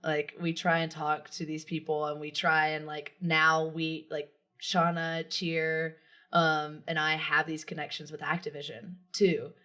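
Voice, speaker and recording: Deep-voiced woman interviewer. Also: completely flat delivery, measured pace, sharp tone. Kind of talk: casual interview chat.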